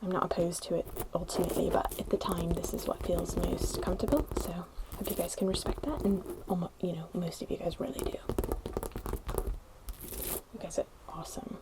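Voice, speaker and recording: A young woman with a put-on posh British accent, whispering a gentle, rambling ASMR chat into a close microphone. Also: on the low side, steady tone, a little fast, low-quality recording. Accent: put-on posh British English. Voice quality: whispered, hushed. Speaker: ASMR creator. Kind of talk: soft-spoken ASMR chat. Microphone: close sensitive ASMR microphone.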